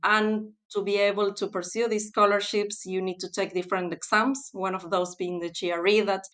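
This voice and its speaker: Woman on a podcast, smooth clear voice, thinks out loud with lots of ums. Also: mid-range voice, little pitch movement. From Argentina. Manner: thoughtful, with fillers and pauses. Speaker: guest, textile specialist. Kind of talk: podcast interview.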